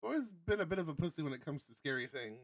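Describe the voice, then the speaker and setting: A man's voice: fairly high pitch, sing-song, very fast, dry recording. A man streaming a game, reading aloud and chatting casually in a wry, sweary way, now and then stumbling over his words. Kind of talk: casual read-aloud commentary with asides. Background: guitar music from the game.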